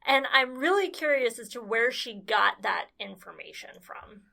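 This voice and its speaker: A woman's voice: fairly high pitch, expressive, moderate pace, gravelly, bright, forceful tone. A woman guest on a casual podcast, a public health planner, talking in a dry, understated way with hesitant restarts. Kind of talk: casual chat.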